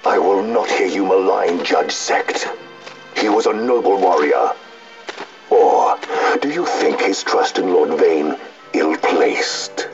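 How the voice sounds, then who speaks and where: Stern, deep imperial judge. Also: male voice, takes it slowly. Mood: stern, indignant. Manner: commanding, declamatory. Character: stern, fiercely loyal armored male imperial judge. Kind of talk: formal, archaic video game drama acting. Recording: muffled game audio under clear music.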